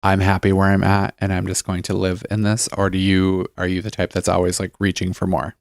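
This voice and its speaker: A man's voice: low voice, monotone, brisk, creaky, dry recording, studio quality. A middle-aged man co-hosting a podcast in casual chat, playful and self-mocking, laughing often. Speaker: podcast co-host.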